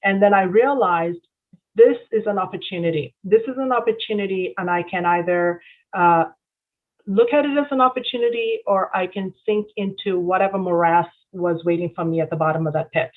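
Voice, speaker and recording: A woman's voice: medium pitch, a little fast. Middle-aged woman telling her story, tinny line. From southern India. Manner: warm storytelling with frequent fillers. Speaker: event speaker. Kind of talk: personal talk to an audience.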